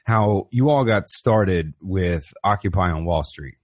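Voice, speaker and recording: Laid-back deep-voiced podcast host. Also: male voice, animated, unhurried, darkish tone. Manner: laid-back, slangy, says "man" and "brother" a lot. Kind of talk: casual interview conversation.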